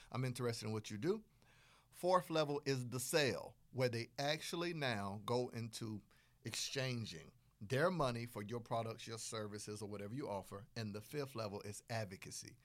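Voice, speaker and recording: Man explaining marketing steps emphatically. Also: medium pitch. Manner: explanatory, emphatic, repeats phrases for rhythm. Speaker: podcast host. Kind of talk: solo business podcast explanation.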